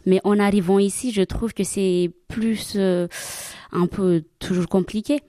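A woman's voice: mid-range voice, fairly even intonation, warm tone, no echo, studio quality. Hesitant woman, non-native speaker. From Madagascar. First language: Malagasy. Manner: hesitant, many pauses and fillers. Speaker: religious sister and catechist. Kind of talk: interview answers.

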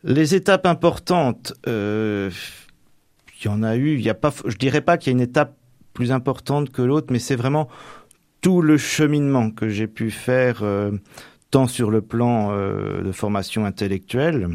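A man's voice: mid-range voice, normal speed, darkish tone, no room sound, clean studio sound. A young man training for the priesthood, answering radio interview questions in a hesitant way with many fillers and restarts. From Manche, Normandy.

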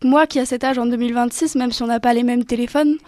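A woman's voice: on the high side, fairly even intonation, quick pace, darkish tone, dry recording. Earnest teenage girl reviewing a film. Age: teens. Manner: earnest, articulate. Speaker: young film reviewer guest. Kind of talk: casual film review chat.

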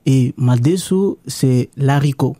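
A man speaking with a very dark, deep voice, recorded dry and close. His is Lingala, and he talks haltingly as a radio guest, repeating words while he looks for the next one. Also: no room sound. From DR Congo. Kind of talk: casual radio chat.

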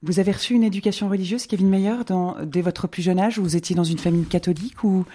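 A woman hosting a radio interview, asking gentle questions and often restarting her sentences. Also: fairly low pitch, steady tone, quick pace. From not from the local region. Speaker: radio host.

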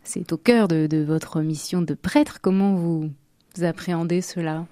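A woman hosting a radio interview, asking questions in a gentle, measured way. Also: fairly low pitch, steady tone, no room sound, clean studio sound. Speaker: radio host.

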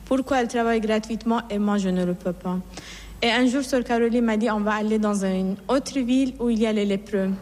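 A woman's voice: fairly high pitch, brisk, a little mellow. A middle-aged woman from Iran, a nun, telling her life story in an interview in an earnest, reflective way, often restarting her sentences. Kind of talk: personal testimony in an interview. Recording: long hall reverb.

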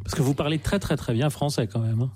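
A man's voice: medium pitch, quick pace, a little mellow, slightly rough. A man co-hosting a radio interview in a conversational style.

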